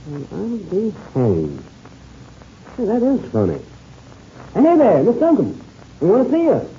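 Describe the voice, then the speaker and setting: An actor playing a hearty, excitable adult pilot in an old-time radio adventure serial. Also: male voice, high-pitched, measured pace, slightly rough, exaggerated voice. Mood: baffled, urgent. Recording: muffled, hissy old radio recording.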